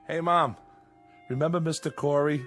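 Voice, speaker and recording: A man's voice: on the high side, moderate pace, lightly acted. An actor playing a boyish, nostalgic, restless married man in his late thirties, wistful and defensive, in a mid-century television drama. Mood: nostalgic, excited. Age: late 30s. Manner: excitable, wistful, defensive when nagged. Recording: vintage TV soundtrack with music.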